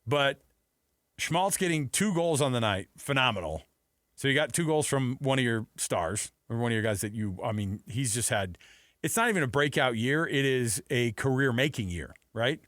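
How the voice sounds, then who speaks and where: A man hosting a sports radio show, talking casually in an animated, enthusiastic, conversational way. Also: medium pitch, moderate pace, no room sound, clean studio sound.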